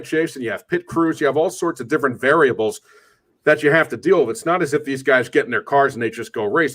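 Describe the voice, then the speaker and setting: Measured male sports show host. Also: mid-range voice, fairly bright tone. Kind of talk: sports talk commentary and guest introductions.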